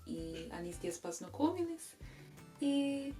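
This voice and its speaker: A young woman from England, a native English speaker, with an English accent, answering viewer questions in a casual vlog and pausing often with hesitant fillers. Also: sits high, big pitch swings, mellow, faint music behind. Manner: slow, careful learner's speech with frequent hesitations.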